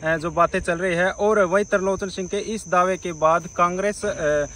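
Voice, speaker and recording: High-pitched man reporting news. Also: fairly even intonation, moderate pace, a bit bright. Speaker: news presenter. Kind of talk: informal news report addressed to viewers.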